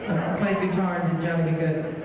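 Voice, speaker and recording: Brief: high-voiced man in his forties fielding fan questions, loose and jokey, heard from far off in an echoey hall with a loud crowd. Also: flat delivery, fairly quick. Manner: joking, rambling with restarts. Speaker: band member answering fan questions. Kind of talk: casual stage Q&A. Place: convention hall stage with audience.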